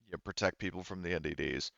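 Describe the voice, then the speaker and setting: Deep, smooth-voiced man, slightly dull recording. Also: a bit bright, dry recording. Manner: halting, searching for words. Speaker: game master. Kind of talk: casual explanation of game setting.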